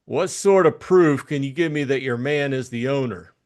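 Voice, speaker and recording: A man voicing a tough, cynical private detective in a crime audiobook, blunt, slangy and needling, with crisp delivery. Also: mid-range voice, moderate pace, darkish tone, voice pushed up, lightly acted. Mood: hard, needling.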